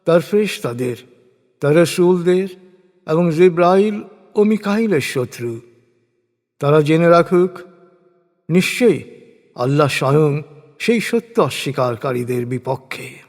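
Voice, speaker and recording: Very slow male scripture narrator, long reverb. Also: on the high side, lively intonation, darkish tone. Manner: measured, deliberate phrasing with pauses. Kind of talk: solemn scripted religious recitation in prose.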